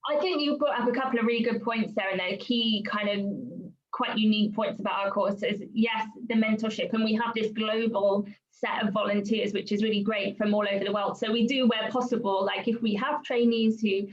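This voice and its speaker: A woman's voice: mid-range voice, fairly even intonation, fairly quick. A woman hosting a conversational interview as the interviewer. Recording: slightly dull, lo-fi audio.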